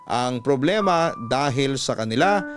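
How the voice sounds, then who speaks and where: A man's voice: mid-range voice, lively intonation, a little slow. A man with a Filipino accent narrating a scripted, dramatic letter as a radio storytelling host. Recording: music behind the voice. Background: background music.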